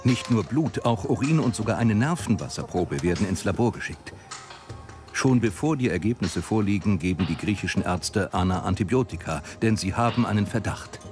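A man delivers scripted documentary narration in a fairly low voice. The telling is dramatic, built for a medical story, with music clearly playing behind him. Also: expressive, moderate pace, darkish tone. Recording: prominent music behind the voice-over. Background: music bed.

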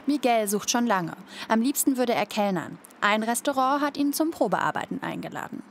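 A woman narrating a television news report in a clear, informative way. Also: a little high, animated, normal speed, slight background noise.

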